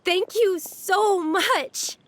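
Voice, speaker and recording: A woman's voice: extremely high pitch, very slow, fairly bright tone, a little hiss or hum, heavily acted. A woman playing an earnest, hesitant young village woman, hopeful but easily resigned, in an anime-style video game. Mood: relieved, determined.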